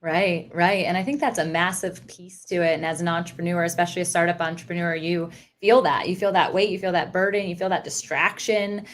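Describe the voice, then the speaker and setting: A woman's voice: on the low side, fairly even intonation, brisk, few pauses. Reflective woman podcast host. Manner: reflective, affirming, speaks from her own entrepreneur experience. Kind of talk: conversational interview.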